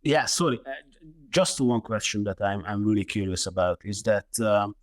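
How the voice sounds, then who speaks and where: A man's voice: on the low side, normal speed. A man hosting a webinar over a video call, asking a polite question and thanking the speaker. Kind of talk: webinar moderation.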